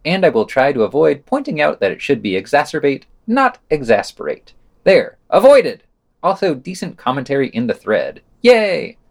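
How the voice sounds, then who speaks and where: A man's voice: a little high, wildly swooping pitch, moderate pace, gravelly. A man reading a scripted news roundup as a podcast presenter, adding wry, sardonic asides.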